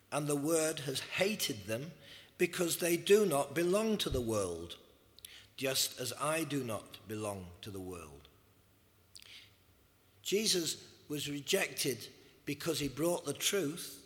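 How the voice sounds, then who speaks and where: A man delivering a sermon at an unhurried, deliberate pace, his voice a little hoarse. He reads scripture aloud between his points. The sound carries a long reverb from the church hall around him. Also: mid-range voice, fairly bright tone. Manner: slow, measured preaching with scripture readings. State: slightly hoarse. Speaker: preacher.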